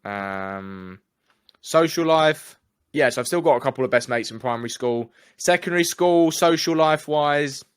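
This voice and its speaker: A man's voice: on the high side, moderate pace, clean tone, no echo, studio quality. A young English man with an English accent, speaking candidly as an interview guest in a casual, chatty way. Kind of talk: casual conversation.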